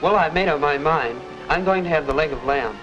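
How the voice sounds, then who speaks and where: A man's voice: on the high side, fairly quick, fairly bright tone, lightly acted. An actor playing a blunt, casual young American man on a date, set in his ways, in a 1950 educational film. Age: young adult. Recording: dull old film track, faint music.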